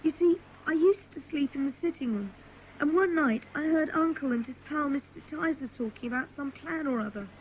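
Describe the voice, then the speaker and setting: A woman's voice: sits high, expressive, moderate pace, slight background noise, poor audio. A woman playing a frightened but defiant young runaway boy, plaintive and guarded, in a children's audio drama. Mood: plaintive, confiding.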